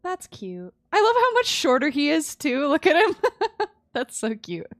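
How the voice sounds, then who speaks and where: A woman's voice: high voice, wildly swooping pitch, a little slow, no echo, studio quality, faint music behind. A woman livestreaming a game with casual, gushing, giggly and slangy commentary.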